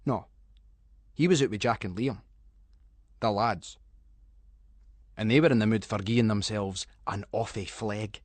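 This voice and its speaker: A man's voice: on the low side, a little slow, long pauses, no room sound. A man with a Scottish accent giving a dramatic, suspenseful narration of a story in colloquial Scots dialect.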